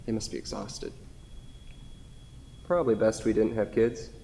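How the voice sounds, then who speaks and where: A man playing a wistful, gently rueful grieving widower in a staged play reading, recorded in an echoey hall. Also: fairly low pitch, steady tone, unhurried, gravelly. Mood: subdued regret. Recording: echoey hall with long reverb.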